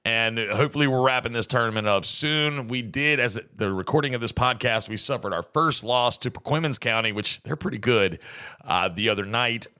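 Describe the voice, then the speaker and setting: Slightly hoarse American man, forceful and bright. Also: mid-range voice, normal speed. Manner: chatty, rambling, folksy. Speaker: podcast host. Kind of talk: casual solo monologue, podcast intro.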